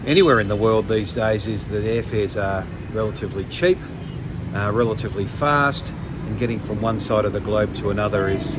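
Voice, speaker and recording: An older man vlogging casually to camera, pausing often with ums and restarts. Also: medium pitch, background noise. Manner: hesitant, many ums and restarts. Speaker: travel vlogger.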